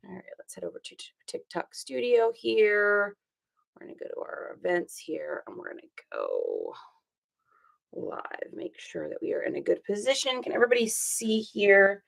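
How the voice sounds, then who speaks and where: A woman with a slightly hoarse, rough voice, hosting a casual livestream and chatting upbeat with viewers, greeting them by name. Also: mid-range voice, huge pitch swings, moderate pace. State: hoarse from singing. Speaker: reseller livestream host.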